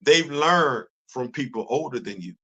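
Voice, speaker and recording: A man in his fifties hosting a casual livestream, talking in an animated, preachy way, often repeating words and restarting his sentences. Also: medium pitch, a little slow, slightly rough, lo-fi sound. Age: 50s. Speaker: host of a commentary livestream. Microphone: phone.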